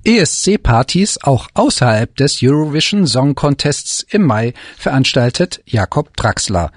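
A male presenter reads a scripted feature intro in a lively, clearly announced way. His voice swings a lot and is slightly breathy, and the booth is dry and close. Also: medium pitch, a little slow, dry recording. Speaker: radio presenter. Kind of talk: scripted news narration.

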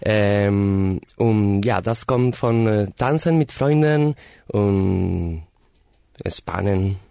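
A man in his thirties from Argentina, a guest speaking halting, with many fillers and self-corrections in a casual interview. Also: fairly low pitch, vocal fry, warm tone, clear, resonant tone, no room sound, clean studio sound. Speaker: guest, party organizer.

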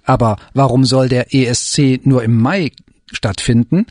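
A man presenting a radio feature with scripted, lively narration. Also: medium pitch, a little slow, dry recording, studio quality.

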